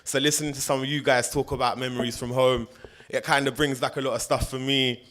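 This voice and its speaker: A man's voice: medium pitch, level tone, fast, a bit bright. Iranian-born man, 30s, street-style spoken word performer. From Iran. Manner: rhythmic, street-style delivery. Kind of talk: spoken word rap poetry.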